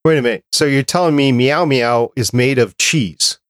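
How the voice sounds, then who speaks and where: A man's voice: mid-range voice, normal speed, a bit bright, no room sound. Playful man on a podcast, closely miked, dry sound. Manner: playful, mock-serious questioning. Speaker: podcast co-host. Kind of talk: casual joking banter.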